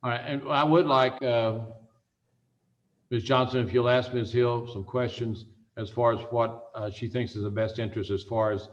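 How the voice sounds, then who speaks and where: A male judge presiding over a hearing on a video call, measured and procedural, with "uh" hesitations. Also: medium pitch, steady tone. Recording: dull lo-fi call audio.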